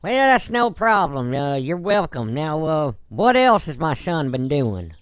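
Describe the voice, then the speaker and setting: A man's voice: a little high, big pitch swings, no echo, studio quality. A man voicing a folksy, blunt but courteous businessman and father in a game roleplay. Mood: blunt, surprised. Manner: folksy, blunt, drawn-out hesitations. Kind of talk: Minecraft roleplay voice acting.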